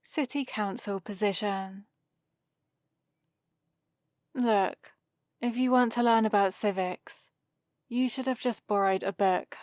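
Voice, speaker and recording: Slow, sardonic vampire librarian played by a woman. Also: medium pitch, fairly even intonation, darkish tone, dry recording, lightly acted. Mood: dismissive, sardonic. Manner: dry, sardonic, theatrical. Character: haughty, sarcastic centuries-old female vampire librarian. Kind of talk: scripted ASMR roleplay acting.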